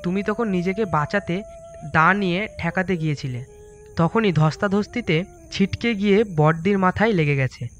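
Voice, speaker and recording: An actor playing an agitated, bossy young village husband in an animated story, speaking urgently and emphatically. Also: male voice, sits high, moderate pace, dull audio, lightly acted. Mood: urgent, coaxing. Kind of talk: dramatised storybook animation dialogue. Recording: music under the voice.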